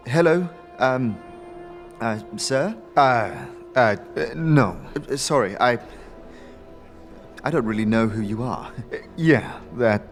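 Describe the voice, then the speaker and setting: A man's voice: mid-range voice, slow, pauses often, close to an everyday voice. A man playing a nervous, melancholy young worker in an audio drama, hesitant and stammering, with background music. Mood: flustered, nervous. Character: nervous, subdued, melancholy young male worker, simple and grateful.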